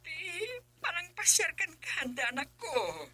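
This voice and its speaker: A woman's voice: sits high, huge pitch swings, moderate pace, pushed and bright, no echo, heavily acted. A woman playing an emotional middle-aged mother who pleads, in a radio drama. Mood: insistent. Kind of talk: Filipino radio drama acting.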